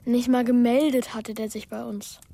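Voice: mid-range voice, little pitch movement, moderate pace, vocal fry, mellow. A performer playing a sensitive, hot-tempered boy who narrates and acts in a children's radio play with youthful earnestness. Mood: brooding.

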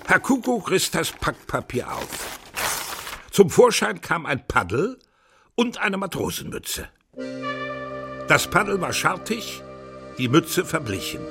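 A man narrating a children's radio play, telling the story deliberately in short, measured phrases. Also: high-pitched.

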